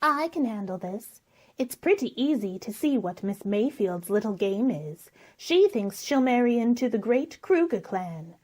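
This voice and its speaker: Actress as scornful young heiress, high-ish mellow voice, unhurried sneer, old-time radio play. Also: female voice, big pitch swings. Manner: sneering, deliberate. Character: scornful, jealous young rich fiancée.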